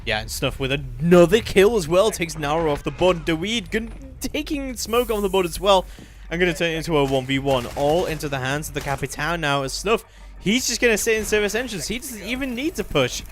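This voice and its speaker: A man's voice: fairly high pitch, expressive, a little fast, slight background noise. Man casting a live esports match. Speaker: play-by-play esports commentator. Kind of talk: live video game match commentary.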